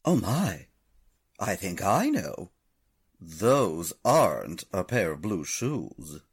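A man playing a puzzled, doubtful gentleman with a husky voice, in 1940s radio drama style. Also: low voice, sing-song, takes it slowly, dry recording, studio quality, full character voice.

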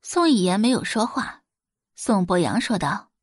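Expressive woman narrating an audio drama. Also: medium pitch, unhurried, husky, dry recording, studio quality. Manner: expressive storytelling.

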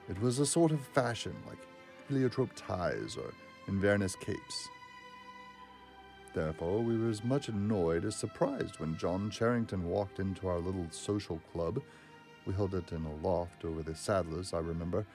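A man's voice: deep voice, darkish tone. A man presenting and narrating a classic story as a dramatic literary reading.

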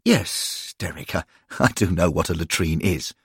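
A man doing a fussy, fretful old gentleman. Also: deep voice, expressive, moderate pace, no room sound, clean studio sound, full character voice. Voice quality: husky, creaky, prim and fretful.

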